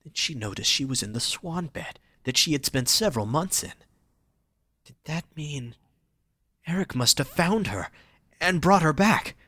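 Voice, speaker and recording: A man's voice: on the high side, expressive, a little slow, pushed and bright, dry recording, studio quality. A man narrating a scripted romantic story for an audio drama, reading dramatically.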